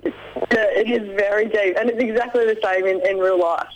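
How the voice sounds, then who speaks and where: A woman with a deep voice, a reality show contestant chatting in a radio interview, giggly with lots of ums. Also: fairly even intonation, fairly quick, rough recording.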